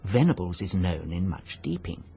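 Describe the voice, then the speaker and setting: A man's voice: deep voice, moderate pace, vocal fry, warm tone, breathy edge, full character voice. A male actor with a British accent playing a fussy, obstinate busybody in a radio drama, hesitant, prim and over-polite. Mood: insistent. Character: fussy, apologetic, obstinate little busybody gentleman.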